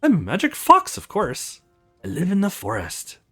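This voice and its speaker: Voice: medium pitch, huge pitch swings, moderate pace, pushed and bright, breathy edge, exaggerated voice. A woman voicing a tiny magic fox, cheerful, playful and boastful, in a visual novel game over music.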